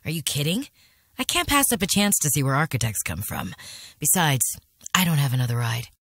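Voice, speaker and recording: Female explorer, video game, wry, determined, informal, animated pitch, dry studio sound. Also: a little fast, no echo, heavily acted. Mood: wry, surprised.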